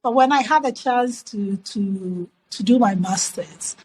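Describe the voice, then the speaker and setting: A middle-aged woman with a Ghanaian accent, telling her life story as an interview guest in a measured, reflective way with emphatic repetition. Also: fairly high pitch, a little mellow, slightly rough, rough recording.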